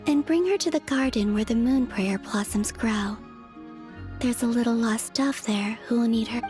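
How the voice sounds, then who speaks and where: A woman playing a gentle, melancholy young moon maiden in a video game, musing to herself in hushed tones with breathy sighs. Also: fairly high pitch. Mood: tender, resolved. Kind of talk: dramatic video game voice acting. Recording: background music under the voice.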